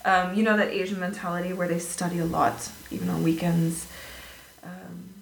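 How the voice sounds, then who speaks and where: A woman tells stories in a relaxed, animated way, laughing easily as she goes. Her voice sits on the low side. The room has a noticeable echo and a faint hiss. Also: normal speed, gravelly. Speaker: guest, former English teacher. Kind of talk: casual conversational storytelling. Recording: echoey room with faint noise.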